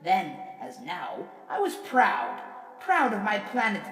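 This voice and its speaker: Vain, grandiose robot politician, played by a woman, oratorical and theatrical, lively intonation, distant with music behind. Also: mid-range voice, slow pace. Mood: solemn, proud. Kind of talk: dramatic reading of a courtroom speech. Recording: echoey hall reverb, distant, music behind.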